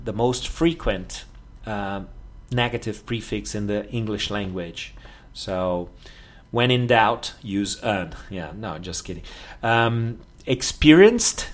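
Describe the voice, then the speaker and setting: A man whose first language is Portuguese, hosting a solo podcast lesson on English, explaining at an unhurried pace with frequent fillers and carefully stretched example words. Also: mid-range voice. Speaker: English teacher.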